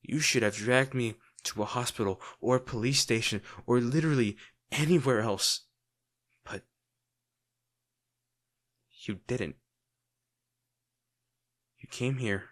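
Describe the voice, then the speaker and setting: A man playing a crime-boss villain in an intimate audio roleplay, secretly tender and worried, speaking sardonically with dramatic pauses. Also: mid-range voice, fairly bright tone. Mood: pressing, insistent.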